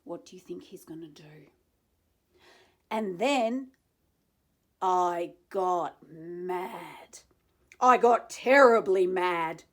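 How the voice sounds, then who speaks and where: A woman reading a children's story aloud expressively, with dramatic pauses and asides to young listeners. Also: on the low side, a bit bright. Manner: dramatic pauses, drawn-out phrasing.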